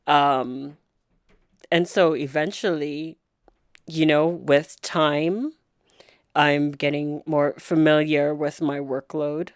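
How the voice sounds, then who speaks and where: A woman being interviewed as a guest, a nurse, speaking in a soft-spoken, thoughtful way with frequent pauses and fillers. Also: sits low, fairly even intonation, clear, resonant tone, no room sound, studio quality. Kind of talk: reflective interview conversation.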